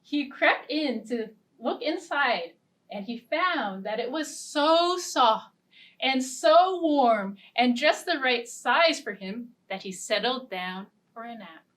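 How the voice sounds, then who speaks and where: Slow, sing-song woman reading to kids. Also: sits high, recorded from a distance. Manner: gentle, sing-song and expressive. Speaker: children's librarian. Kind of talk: children's storytime with songs and ukulele.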